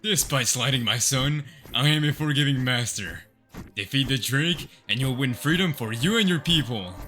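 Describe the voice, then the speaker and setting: Cruel, pompous lord for a manga dub, mock-generous and taunting, forceful, swinging delivery. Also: male voice, medium pitch, animated, normal speed, faint music behind. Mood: pompous, smug. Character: pompous, cruel male lord sneering over arena captives. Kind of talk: theatrical fan manga dub.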